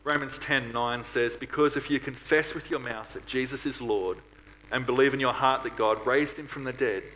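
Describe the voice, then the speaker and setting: A man delivers an earnest church sermon in a mid-pitched voice, posing rhetorical questions as he goes. The recording carries some background noise and a hum. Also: moderate pace, fairly bright tone, poor audio. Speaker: preacher at a church. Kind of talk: sermon to a congregation.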